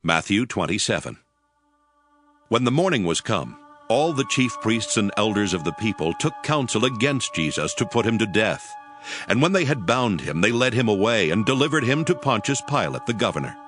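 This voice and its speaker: A man narrating scripture as a solemn, measured storyteller. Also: on the low side, very animated, a bit bright, faint music behind.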